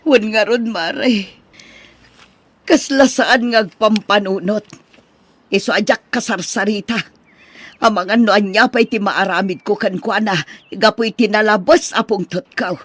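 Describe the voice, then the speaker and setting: A woman with an Ilocano accent playing a fretful, remorseful middle-aged mother in a radio drama, with a plaintive, husky delivery. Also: mid-range voice, a little slow, no echo, natural voice. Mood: fretful, exasperated.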